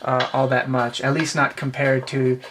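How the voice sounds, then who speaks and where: Presenter, mid pitch, explaining stuff hands-on, thinking out loud, some background noise and clicks. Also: level tone, moderate pace. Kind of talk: casual hands-on demonstration commentary.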